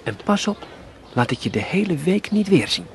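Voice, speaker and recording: Male reader voicing a gruff old stall keeper for a storybook radio reading, voice lifted higher and louder than his narration, hushed and urging by turns. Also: sing-song, moderate pace, noisy background.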